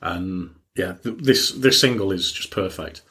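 A man co-hosting a casual music podcast, often halting and searching for words. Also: deep voice, a bit bright, slight background noise. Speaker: podcast co-host.

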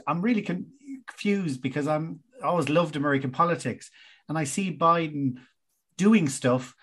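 A man's voice: a little high, breathy edge. A man interviewing a guest, asking long, winding questions in a casual way.

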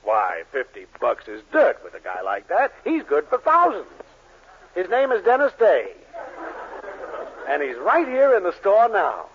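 An actor playing a sneaky, henpecked middle-aged shopkeeper, fussy and stammering, in a 1940s radio comedy. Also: male voice, sits high, huge pitch swings, a little slow, slightly rough, heavily acted. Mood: panicky, conniving. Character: flustered, henpecked, sneaky married drugstore owner. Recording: muffled, scratchy 1940s radio recording.

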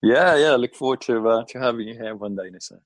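A man's voice: mid-range voice, fast, no room sound. A man from Sweden, a consultant giving friendly, thoughtful interview answers with frequent ums and restarts. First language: Swedish. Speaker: guest, employer brand consultant.

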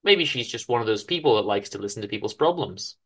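A man's voice: fairly low pitch, animated, fast talker, fairly bright tone, slightly rough, voice pushed down, heavily acted. A man reading the part of a mild, wistful married man in a story, chatty, hesitant and stammering. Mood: gentle, sheepish. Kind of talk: amateur dramatic story reading.

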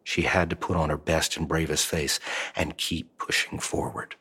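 A man narrating a supernatural horror audio drama in a measured, deliberate scripted style. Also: a bass voice, level tone, bright, forceful tone, husky, full character voice. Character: male third-person narrator of a supernatural horror story.

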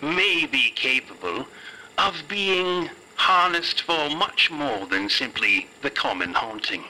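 A man's voice: medium pitch, bright, forceful tone, slight background noise, exaggerated voice, poor audio. A man voicing an eerie, erudite spirit who lectures grandly through a radio-like ghost box in a tabletop game. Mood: lecturing. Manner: grandiose, musing, professorial. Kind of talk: theatrical in-character monologue.

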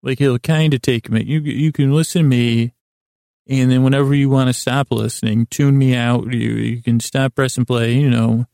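Male sleep-podcast host, mellow and very creaky, stammering through lighthearted, self-deprecating tangents. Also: mid-range voice, fairly even intonation, no room sound, clean studio sound. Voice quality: gravelly, creaky. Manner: halting, meandering, self-interrupting, stammering delivery. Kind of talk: rambling bedtime sleep podcast monologue.

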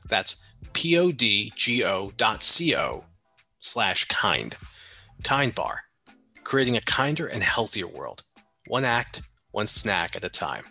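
A man's voice: lowish voice, sing-song, slow, vocal fry, bright, forceful tone. A man reading a scripted ad. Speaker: ad announcer. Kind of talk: scripted ad read. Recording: music bed behind.

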